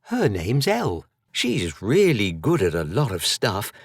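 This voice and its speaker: A man's voice: fairly low pitch, wildly swooping pitch, a little slow, vocal fry, husky, dry recording, clean studio sound, exaggerated voice. A man voicing a poor young boy in a storybook, timid, earnest and full of wonder. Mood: shy, earnest.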